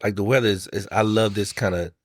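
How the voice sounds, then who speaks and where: A man's voice: fairly low pitch, fairly even intonation, fast, dry recording, studio quality. An American man talking in a colloquial, teasing way as a podcast co-host chatting casually with his wife.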